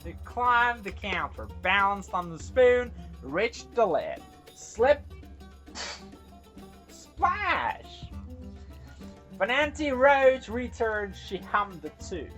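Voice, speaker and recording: A woman from Iran narrates for kids with a dramatic, playful touch. Her mid-pitched voice rises and dips freely, and she slips into casual asides. Faint music plays behind her. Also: sing-song, very slow, pauses often, a bit bright. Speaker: storyteller and host. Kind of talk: storytelling for children with casual asides.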